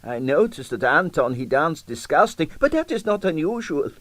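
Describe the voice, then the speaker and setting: A man voicing a vain, excitable old Russian ballet dancer in an audiobook, boastful and plaintive in accented broken English. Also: a little high, moderate pace, dry recording, pitched above the performer's own voice. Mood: indignant.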